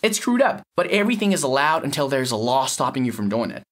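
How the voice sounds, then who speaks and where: A man's voice: medium pitch, very animated, fast talker, fairly bright tone. A man playing a cynical, blunt lab technician in an audio story, explaining in a matter-of-fact, sardonic way. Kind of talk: acted dialogue in an audio short story.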